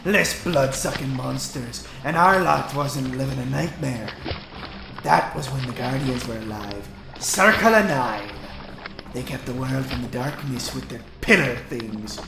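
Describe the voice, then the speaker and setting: Gravelly, gruff old vampire hunter. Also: male voice, mid-range voice. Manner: coarse rustic dialect, scornful, emphatic. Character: gruff, bitter older male vampire hunter. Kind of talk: fantasy audio drama acting. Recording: echoey, music underneath. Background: music.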